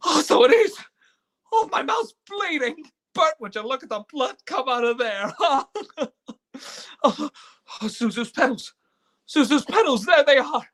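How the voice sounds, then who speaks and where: A man's voice: very high voice, sing-song, unhurried, pauses often, fairly bright tone, heavily acted. A man playing an emotional, stammering family man in a live radio play, speaking with breathless urgency. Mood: overjoyed, giddy. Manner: stammering, impassioned, breathless pleading. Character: frantic, despairing then overjoyed family man.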